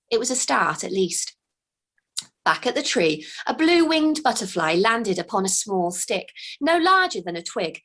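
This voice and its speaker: A woman author reading her children's book aloud with expressive, unhurried storytelling. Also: mid-range voice.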